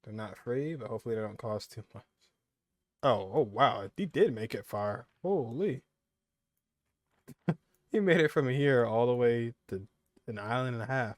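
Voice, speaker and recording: A man's voice: mid-range voice, animated, moderate pace, long pauses, dry recording, studio quality. A man giving casual gameplay commentary as a host, reacting aloud in an informal way. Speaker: gaming video host.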